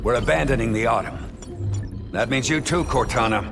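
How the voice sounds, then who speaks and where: An actor playing a stern, middle-aged starship captain in a sci-fi game, measured and authoritative, giving clipped orders. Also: male voice, medium pitch, lightly acted. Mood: firm, resolute. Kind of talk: cinematic military sci-fi game acting. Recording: long reverberant hall, clear music behind.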